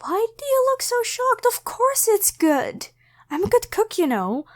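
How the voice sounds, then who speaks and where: Woman acting high-voiced, flustered, grateful young woman. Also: big pitch swings, a little slow, heavily acted. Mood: proud, flustered. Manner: rambling, apologetic, hesitant, stammering. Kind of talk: ASMR roleplay addressed to the listener.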